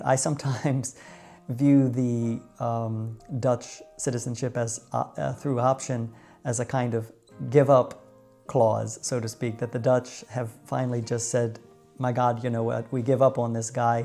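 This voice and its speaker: Middle-aged American man, mellow creaky voice. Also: mid-range voice. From United States. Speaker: vlogger. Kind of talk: personal storytelling to viewers.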